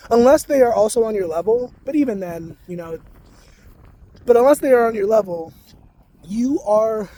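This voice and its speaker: A young man talking casually to camera in a soft-spoken, rambling way, full of "like". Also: high voice, slight background noise, rough recording. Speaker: spiritual vlogger.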